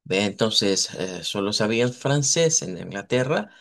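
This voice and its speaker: A man teaching a live online language class. He explains at length, models sounds slowly and often checks in with his students. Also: lowish voice, lively intonation, fairly bright tone. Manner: checks in with students, asks "verdad".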